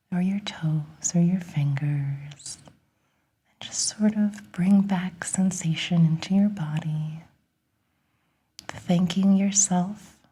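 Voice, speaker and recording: A woman leads a guided relaxation in a very breathy whisper. She speaks slowly and gently, with a mellow tone. The delivery is soothing and encouraging, in an ASMR style. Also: on the low side.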